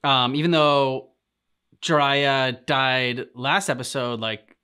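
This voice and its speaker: Halting man giving casual reaction commentary. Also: mid-range voice, steady tone, sharp tone, clean tone. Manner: halting, rambling, searching for words. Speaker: reaction video host.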